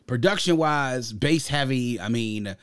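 Man, medium pitch, music podcast host, casual album commentary, emphatic, slangy, opinionated, dry sound. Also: expressive, a little slow, a bit bright, smooth, dry recording.

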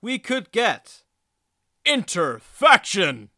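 A man's voice: high-pitched, huge pitch swings, very slow, bright, forceful tone, no room sound, studio quality. A man hosting a podcast in a casual commentary style, thinking aloud in a rambling, self-deprecating way. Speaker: podcast host.

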